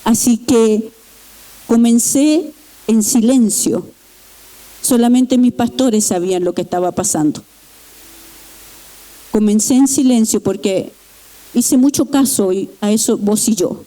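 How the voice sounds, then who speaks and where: A middle-aged woman shares her personal testimony before a church congregation. Her voice sits a little high yet sounds deeply warm and mellow. She speaks earnestly, pausing and restarting as she works through her story. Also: rough recording. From Chile. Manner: earnest, halting, with pauses and restarts. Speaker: church member giving testimony. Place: church sanctuary.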